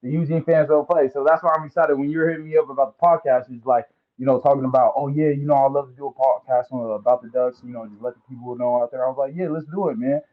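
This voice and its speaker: A man's voice: medium pitch, steady tone, fast, few pauses. A young man from American Samoa, a football recruit, talking in a laid-back way and using many fillers in an interview. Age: young adult. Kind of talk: casual interview. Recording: tinny phone-like line.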